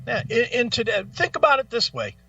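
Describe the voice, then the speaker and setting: A man's voice: very high voice, huge pitch swings, a little fast, pushed and bright, dry recording, distant mic, muffled recording. A man hosting a talk show in an opinionated rant, blunt, profane and argumentative, repeating phrases for emphasis. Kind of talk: opinionated music talk, ranting.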